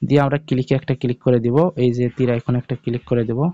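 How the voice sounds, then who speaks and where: A man's voice: mid-range voice, little pitch movement, very fast, warm tone, highs cut off. A man hosting an informal step-by-step app tutorial, speaking directly to viewers and checking in with them often. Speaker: tutorial video host.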